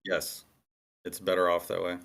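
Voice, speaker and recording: A man's voice: sits low, completely flat delivery, moderate pace, creaky, fairly bright tone. A man in a casual group discussion, explaining his views on writing at length with emphasis and repetition. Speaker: screenwriter giving feedback. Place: online meeting room.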